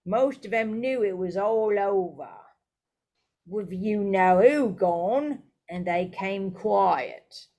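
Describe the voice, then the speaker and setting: A woman voicing an excitable, gossipy young male bus conductor who chatters loudly and dramatically at a slow, deliberate pace. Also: medium pitch.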